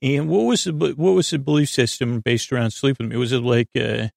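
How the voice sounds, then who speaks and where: A man hosting a bedtime podcast in a meandering, halting way, with improvised digressions and words that trail off unfinished. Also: mid-range voice, fairly even intonation, creaky, a little mellow, no echo, studio quality. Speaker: podcast host. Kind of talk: rambling improvised bedtime monologue.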